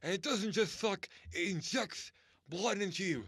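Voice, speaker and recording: A man playing an ancient, world-weary vampire spokesman in a comedy infomercial, halting and theatrical. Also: sits high, fairly bright tone, slightly rough, no room sound, clean studio sound, full character voice. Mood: salesmanlike. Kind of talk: mock infomercial sales pitch.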